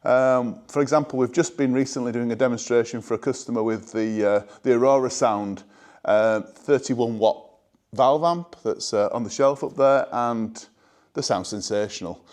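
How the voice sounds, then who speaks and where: Male showroom presenter, mid-range voice, informal and measured sales pitch with real enthusiasm. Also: a little mellow. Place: indoor hi-fi showroom.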